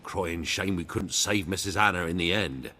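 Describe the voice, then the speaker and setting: An actor playing a humble, fearful peasant in a video game, earnest and deferential, telling things in rustic dialect. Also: male voice, low-pitched, normal speed, sharp tone. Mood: earnest, grave. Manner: rustic dialect grammar, deferential, dramatic storytelling.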